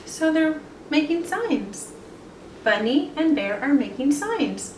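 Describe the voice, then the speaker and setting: A woman's voice: high-pitched, sing-song, slow. A woman in her thirties reading a picture book aloud to children and commenting on it. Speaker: children's storybook reader. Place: indoor room with bare wall. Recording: echoey room, distant mic, some noise.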